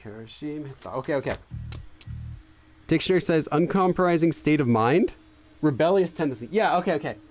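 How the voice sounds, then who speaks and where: A man's voice: fairly high pitch, expressive, fast, long pauses, a little mellow, soft background music. A man hosting a gaming channel, thinking aloud in casual let's-play commentary and hesitating with fillers and restarts as he translates for himself. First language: English.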